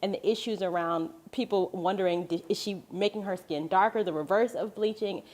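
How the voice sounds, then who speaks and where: A woman's voice: medium pitch, a little fast, a little mellow, rough recording. A woman hosting a panel, posing questions to her guests and affirming their answers. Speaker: host. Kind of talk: interview hosting.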